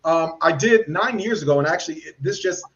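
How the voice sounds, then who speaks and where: A man hosting a live podcast, his voice a bit high for a man and recorded with dull, lo-fi audio. He asks earnest, winding questions, stopping and restarting with plenty of fillers. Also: animated, fairly quick, fairly bright tone. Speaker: podcast host.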